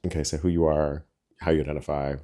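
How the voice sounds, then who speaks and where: A young man from the States hosting a casual podcast chat, relaxed, asking questions and affirming briefly. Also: a bass voice, fast talker. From United States. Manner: relaxed, asks questions, affirms with short replies. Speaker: podcast host.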